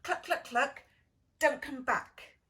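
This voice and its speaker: A woman voicing a bossy, unwelcoming hen in a children's storybook, clucking loudly and dismissively. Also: high-pitched, slow pace, full character voice. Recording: distant microphone.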